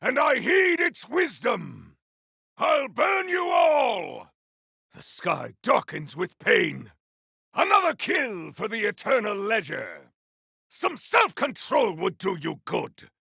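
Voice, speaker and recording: Zealous video game champion: high-pitched for a man, raspy, bombastic and theatrical, swooping boldly through boastful combat barks. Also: wildly swooping pitch, slow pace, sharp tone, no room sound, raised voice, clean studio sound, full character voice. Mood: wrathful, exultant. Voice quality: gravelly, raspy. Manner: bombastic, archaic, theatrical declamation. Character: zealous, boastful male warrior champion, grandiose and battle-hungry.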